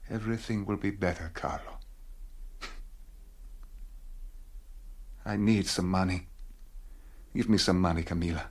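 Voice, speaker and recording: An actor playing a bitter, world-weary, hard-drinking husband in a radio play, brooding and resigned. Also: male voice, low-pitched, fairly even intonation, fairly quick, darkish tone. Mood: bitter pleading.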